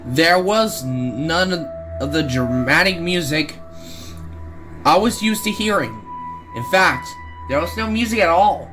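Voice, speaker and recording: A man reading a creepypasta aloud, slowly and haltingly, often restarting words and stepping out of the story to talk to his listeners. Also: high-pitched, a bit bright, low-quality recording. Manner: slow, halting reading with frequent restarts and self-corrections; breaks off to address listeners with casual asides. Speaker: creepypasta reader.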